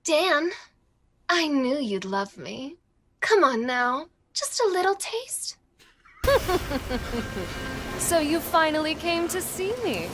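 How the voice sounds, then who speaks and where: A woman's voice: high voice, animated, takes it slowly, pauses often, a bit bright, faint music behind, full character voice. A woman voicing a seductive, hungry video game villainess who coos to her prey. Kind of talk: video game character performance.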